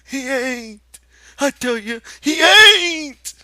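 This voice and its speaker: Big simple-minded man, rural American accent, childlike, whiny, very high, slow, ungrammatical, excitable. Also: no echo, heavily acted. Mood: frantic.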